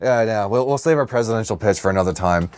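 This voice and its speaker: A man's voice: fairly low pitch, quick pace, a little mellow, clean tone, dry recording, clean studio sound. A man co-hosting a podcast, chatting casually and irreverently with jokes and swearing. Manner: irreverent, profane, jokey. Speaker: podcast co-host. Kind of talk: casual comedic banter.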